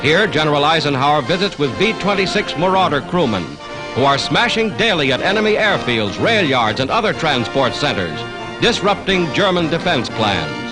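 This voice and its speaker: High-pitched, animated 1940s American newsreel man. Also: moderate pace. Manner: declamatory, formal announcer delivery. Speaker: newsreel narrator. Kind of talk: 1940s newsreel narration, scripted. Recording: vintage 1940s newsreel soundtrack. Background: orchestral music.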